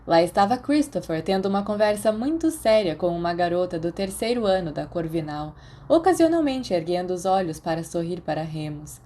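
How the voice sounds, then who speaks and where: Mellow, low-pitched Brazilian woman narrating. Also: expressive, brisk, slight background noise. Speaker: audiobook narrator. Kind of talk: scripted fan fiction narration.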